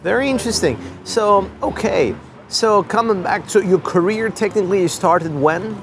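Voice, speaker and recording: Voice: mid-range voice, moderate pace, slight background noise. An interviewer, not a native German speaker, asking about language teaching with eager, affirming remarks and repeated words. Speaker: interviewer, host. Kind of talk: casual interview conversation.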